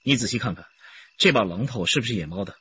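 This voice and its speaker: An actor playing a calm, methodical male detective who instructs steadily in a radio drama. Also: lowish voice, fast, husky, exaggerated voice. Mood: searching. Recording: dull lo-fi radio play audio.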